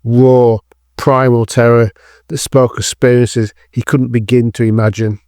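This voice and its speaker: A male narrator with a mid-pitched voice reads a scripted dramatic thriller. The sound is dry and close, with no room around the voice. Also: level tone, measured pace, darkish tone, no echo.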